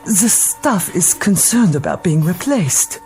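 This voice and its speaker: An actress playing a devoted female robot servant in a radio drama, speaking in a formal, measured, literal-minded way. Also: on the low side, lively intonation, a little mellow, soft background music. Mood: urgent warning.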